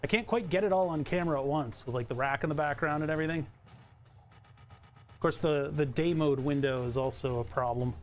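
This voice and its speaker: A man streaming from his home setup, chatting casually in a rambling, self-interrupting way with a bright, trebly voice. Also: on the high side, a little fast, faint music behind.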